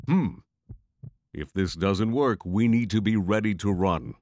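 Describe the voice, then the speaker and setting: A pragmatic male mage in a fantasy audio drama, voiced by a man. He talks wryly in a fairly low voice with lively inflection. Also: a little slow, dry recording. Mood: wry, apprehensive. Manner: dry, wry, reasoning aloud. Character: male lightning mage, wry, pragmatic adventurer.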